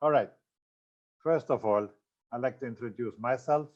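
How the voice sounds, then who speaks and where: Older man from Austria, seminar presenter, mid pitch, halting with fillers, slightly dull lo-fi audio. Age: 60s. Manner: loose, halting delivery with many fillers and self-corrections. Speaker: franchise consultant giving a seminar.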